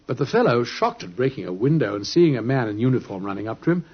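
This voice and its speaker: An actor with a British accent playing a brisk, absorbed, genial gentleman detective in an old radio drama, speaking precisely and urbanely. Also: mid-range voice. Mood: absorbed storytelling. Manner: animated, precise, urbane storytelling. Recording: muffled, husky old radio recording.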